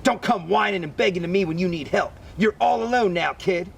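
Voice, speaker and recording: A man's voice: high-pitched, fairly quick, creaky, a little hiss or hum. A man voicing a menacing, controlling tiger in a children's storybook, taunting and giving orders. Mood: cold, threatening. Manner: taunting, commanding. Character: menacing, controlling male tiger gang tempter. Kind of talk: picture-book read-aloud.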